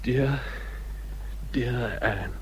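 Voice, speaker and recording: An actor playing an ardent, anguished naval captain in love, speaking earnestly and formally in a period literary drama. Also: male voice, medium pitch, drawn-out words, background noise. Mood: tender regret.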